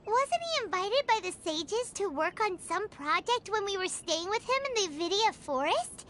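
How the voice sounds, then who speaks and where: Squeaky, excitable little fairy girl. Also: moderate pace, slight background noise, heavily acted. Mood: eager. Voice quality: squeaky, childlike. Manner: excitable, refers to self in third person. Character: Paimon, tiny excitable childlike fairy companion. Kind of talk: video game cutscene acting. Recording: music behind.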